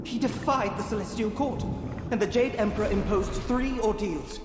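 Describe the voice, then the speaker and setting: An actor playing a solemn teller of myths in a game, reciting in a steady, incantatory way. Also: medium pitch, moderate pace, echoey room, long reverb tail, background noise, close to an everyday voice. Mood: solemn, foreboding. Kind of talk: scripted mythic narration.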